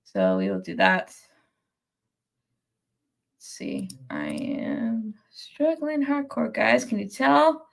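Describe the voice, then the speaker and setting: Young woman, nasal congested voice, gravelly fry, rambling casual host, lots of ums. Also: a little high, wildly swooping pitch, slow pace, pauses often. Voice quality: nasal from a cold. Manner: rambling, many ums and restarts, reads viewer comments. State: sick, congested. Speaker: podcast host. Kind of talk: casual live-stream chat.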